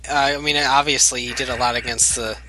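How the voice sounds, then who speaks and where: A man's voice: mid-range voice, steady tone, brisk, pushed and bright, a little hiss or hum, poor audio. A young man in casual podcast chat, chatty, with lots of fillers and frequent laughter.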